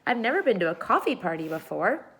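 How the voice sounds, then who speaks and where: A woman voicing a bold, unruly, excitable young girl in a storybook, with an emphatic, boastful way of talking. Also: mid-range voice, fast, breathy edge, exaggerated voice. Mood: eager.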